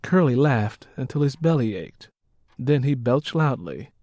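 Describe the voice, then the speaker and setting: A man's voice: medium pitch, very animated, a little slow, dark tone, dry recording. A man narrating a story as scripted audiobook prose.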